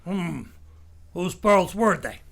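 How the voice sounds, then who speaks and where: An actor playing a skeptical, terse homicide lieutenant in a radio drama, with a knowing way of talking. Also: male voice, sits high, animated, takes it slowly, gravelly, fairly bright tone, full character voice. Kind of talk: radio detective drama acting.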